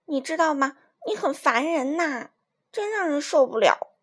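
A woman voicing an exasperated mother scolding loudly in a storybook reading. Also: high voice, animated, normal speed, pitched above the performer's own voice. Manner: loud, scolding.

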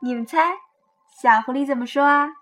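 A woman's voice: high voice, moderate pace, a bit bright. A woman hosting a children's program, telling a picture-book bedtime story expressively and coaxing young listeners along. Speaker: children's storytelling show host. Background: music.

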